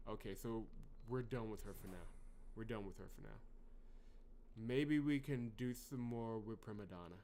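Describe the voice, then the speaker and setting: A man's voice: on the low side, moderate pace, mellow. A man hosting a video game playthrough, talking off the cuff in an exasperated, emphatic way that swings between outbursts and muttering. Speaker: gaming channel host. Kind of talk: unscripted let's play commentary.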